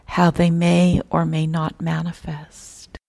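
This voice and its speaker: A woman teaching a guided meditation in a measured, gentle manner, with long pauses between phrases. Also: lowish voice, completely flat delivery, creaky.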